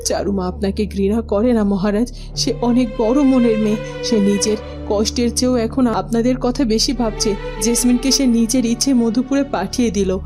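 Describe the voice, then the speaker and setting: A woman's voice: on the high side, moderate pace, music in the background, close to an everyday voice. A woman playing a firm, loyal lady earnestly and respectfully counselling a king in a cartoon. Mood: urging, earnest.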